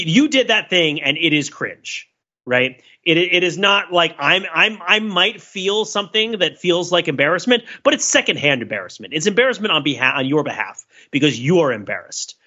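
Guy on a podcast, bright sharp voice, kinda muffled audio, rambly stop-start explaining, casual but analytical. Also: fairly high pitch, husky. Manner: wordy, halting, explains in structured points. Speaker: podcast co-host.

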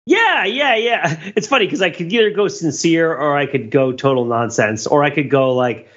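A man's voice: fairly high pitch, lively intonation, normal speed, fairly bright tone. Enthusiastic man chatting, slightly dull sound. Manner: enthusiastic, geeky. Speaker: podcast co-host. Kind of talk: casual pop culture chat.

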